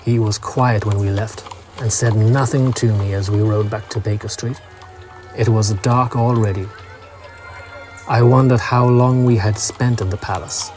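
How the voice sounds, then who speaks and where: Slow, low-voiced male narrator. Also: fairly even intonation, a little mellow, soft background music. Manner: measured, literary storytelling. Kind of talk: scripted first-person audiobook narration.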